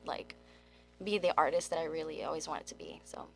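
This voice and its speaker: A woman's voice: deep voice, sing-song, a little fast, creaky. A woman in her twenties who grew up in Germany and South Korea, chatting informally as an interview guest with lots of filler "like". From Germany and South Korea, military child. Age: 20s. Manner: informal, heavy use of "like". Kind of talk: casual chat.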